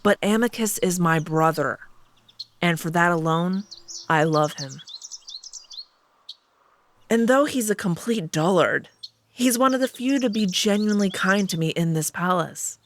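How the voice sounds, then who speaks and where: A woman playing an aloof, guarded royal she-wolf who speaks in a measured, formal way in a visual novel dub. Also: on the low side, expressive. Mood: curt, sincere.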